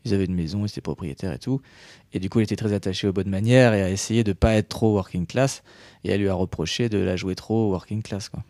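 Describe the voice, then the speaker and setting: A man with a very deep, dark voice, chatting on a podcast and telling anecdotes at a measured pace. He pauses on fillers as he thinks. The recording is dry and close. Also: no room sound. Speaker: podcast co-host. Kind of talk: casual film chat.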